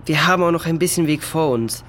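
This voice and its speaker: A man's voice: fairly high pitch, quick pace, fairly bright tone, a little hiss or hum, natural voice. A man playing an eager young boy adventurer in a children's audio drama. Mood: relieved.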